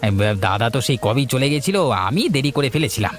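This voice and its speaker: A man's voice: mid-range voice, lively intonation, fast talker, faint music behind, close to an everyday voice. A man voicing a petty, selfish village family man in a cartoon story, blunt and grumbling in manner. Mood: dismissive. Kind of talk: Bengali cartoon story voice acting.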